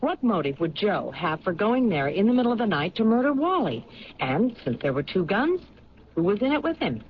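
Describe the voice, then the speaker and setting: A woman's voice: medium pitch, very animated, lightly acted. A woman playing a probing police lieutenant. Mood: pondering. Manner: measured, probing questions. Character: thoughtful woman police lieutenant, steady and intuitive. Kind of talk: 1970s radio drama acting. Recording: dull lo-fi radio broadcast with faint hiss.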